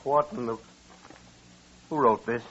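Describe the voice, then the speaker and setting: A man playing a wild, defiant frontier bridegroom in a radio western, husky, with folksy American country talk. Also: medium pitch, steady tone, a little slow, fairly bright tone. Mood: surprised. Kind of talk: old-time radio western acting. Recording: muffled vintage radio recording.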